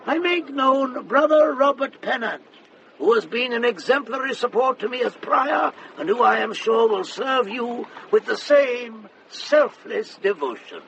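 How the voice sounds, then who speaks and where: An actor playing an elderly abbot who makes solemn, ceremonious proclamations in a radio drama. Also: male voice, very high-pitched, expressive, a little slow, gravelly, fairly bright tone, a little hiss or hum, exaggerated voice, rough recording. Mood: solemn, pointed. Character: elderly abbot, formal, long-suffering, ceremonious. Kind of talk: British radio drama acting.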